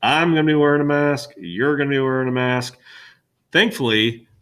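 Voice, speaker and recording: A middle-aged male teacher with a loud, boisterous classroom voice, talking casually and rambling with fillers, recorded on a webcam in an empty classroom. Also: mid-range voice, normal speed, clean tone. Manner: rambling, chatty with many fillers. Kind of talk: casual classroom welcome talk.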